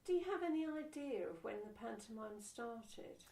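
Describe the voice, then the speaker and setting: A woman's voice: on the high side, expressive, normal speed, warm tone. A woman asking questions conversationally as an interviewer, recorded off-mic in a roomy space. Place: indoors, away from the mic. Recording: roomy echo, off-mic and distant.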